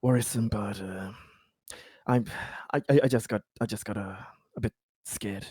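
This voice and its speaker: A man's voice: on the low side, steady tone, darkish tone, husky, dry recording, studio quality. Man acting rattled, stammering injured colonist. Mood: uneasy, subdued. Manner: stammering, halting, repeating himself.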